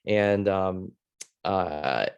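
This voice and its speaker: Middle-aged American male presenter, lower register, informal webinar delivery, hesitant and conversational. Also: gravelly, lo-fi sound, a little hiss or hum. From Ohio, United States. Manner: casual, halting, filler-heavy. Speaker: former science teacher presenting a webinar. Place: video call.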